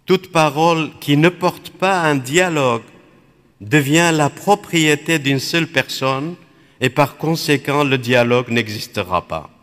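Slow, reflective priest in a hall. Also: male voice, on the high side, a bit bright. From Iraq. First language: Aramaic. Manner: measured, contemplative, phrase by phrase. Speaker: Dominican priest. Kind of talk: spiritual reflection.

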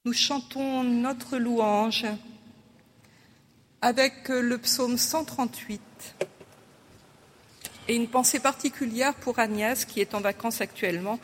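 A prayer leader reading a prayer aloud during worship in a church, in a slow, solemn and measured way. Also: medium pitch, steady tone, slightly rough, long reverb tail.

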